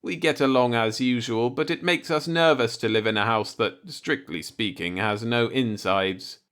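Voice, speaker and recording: Medium-pitched man narrating a story. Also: normal speed, a bit bright, smooth. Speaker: audiobook narrator. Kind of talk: scripted storytelling, light-hearted bedtime read-along.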